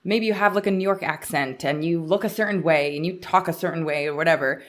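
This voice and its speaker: A woman's voice: low voice, quick pace. A woman co-hosting a podcast in a conversational interview style, chatty and thinking aloud. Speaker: podcast co-host.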